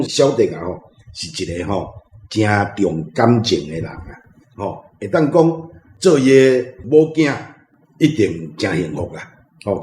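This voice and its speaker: Low-voiced Taiwanese man, roomy sound. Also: dark tone. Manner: colloquial, frequent tag particles. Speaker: panel guest, reading club member. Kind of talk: informal commentary on a story. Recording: roomy, faint music behind. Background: faint guitar music.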